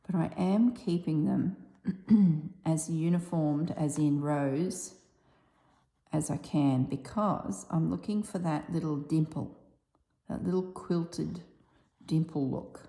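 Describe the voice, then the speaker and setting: An Australian woman with a husky, slightly strained voice chatting casually while she stitches, softly dropping toward a whisper at times. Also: low voice. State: voice strained from yelling. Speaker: embroidery vlogger. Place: tiled room with little on the floor. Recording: echoey tiled room.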